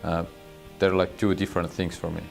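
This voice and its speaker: A man's voice: sits low, level tone, a little fast, creaky, soft background music. A man answering interview questions thoughtfully, with many fillers.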